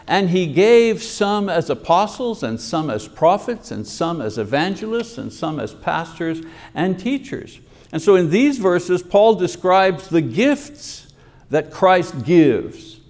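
Older guy preaching from a lectern in church, bit higher voice, earnest and explaining. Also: darkish tone. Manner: earnest teaching delivery, reads scripture aloud. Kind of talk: sermon. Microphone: podium microphone.

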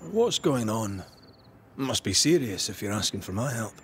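An actor playing a terse, world-weary hunter of evil in a video game, dry and understated. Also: male voice, on the low side, very animated, normal speed, fairly bright tone, slight background noise. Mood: dry, wary. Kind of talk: naturalistic video game acting.

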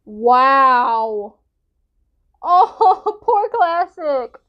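Young woman, high-pitched, casual reactor, giggly, rambling, slightly muffled lo-fi home audio. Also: sing-song, drawn-out words. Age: young adult. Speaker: reaction video host. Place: indoor room at home.

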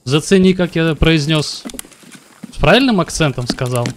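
A man's voice: a little high, expressive, moderate pace, noisy background. A man in his thirties streaming a game from home, joking with his chat and talking at a desk mic. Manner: joking, talks to chat, puts on broken English and mock greetings. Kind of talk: live game stream commentary. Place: home room at a computer.

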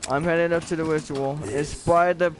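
A man's voice: on the high side, steady tone, brisk, background noise. A young man in casual game chat with teammates while streaming, slangy and foul-mouthed, talking into a headset. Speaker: gamer live-streaming a co-op game. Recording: game audio under voice. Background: game music and effects.

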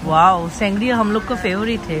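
A woman's voice: mid-range voice, moderate pace. A woman vlogging while shopping, chatting casually and describing each item she picks up, filmed on a handheld phone in a big warehouse store. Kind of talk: casual shop-with-me vlog narration. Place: large indoor warehouse store. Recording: echoey warehouse with loud store noise.

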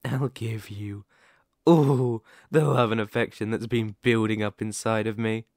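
A man voicing a sadistic, possessive werewolf, wounded and menacing, in a dramatic ASMR roleplay monologue with drawn-out pauses. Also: on the low side, fairly even intonation, a little mellow, dry recording, clean studio sound. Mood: pleading, possessive.

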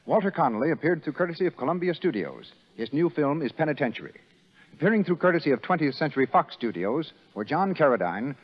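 A man reading formal, scripted announcements as a 1930s radio announcer. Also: medium pitch. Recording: dull, rough 1930s radio broadcast, faint crowd.

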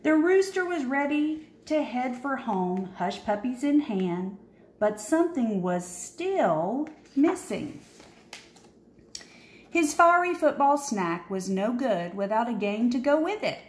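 A woman reading a children's picture book aloud expressively, with dramatic pauses and emphasis, recorded in a roomy, echoing indoor space. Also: a little high, very animated. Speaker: storybook reader.

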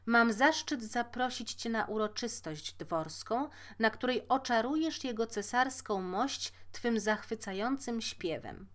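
A woman's voice: a little high, animated, a little slow, hardly any pauses, fairly bright tone. A woman voicing a pompous, fussy male court marshal in a fairy tale, with a flowery manner. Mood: gushing, ceremonious. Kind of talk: fairy-tale storybook character voice.

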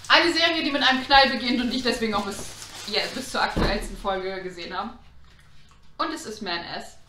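A woman's voice: on the high side, brisk, sharp tone. A woman in her twenties hosting a casual unboxing to camera, opinionated, blunt and slangy. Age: 20s. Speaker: host of an unboxing channel. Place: small room indoors, on a sofa. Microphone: camera mic a short distance away. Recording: roomy echo, faint background noise.

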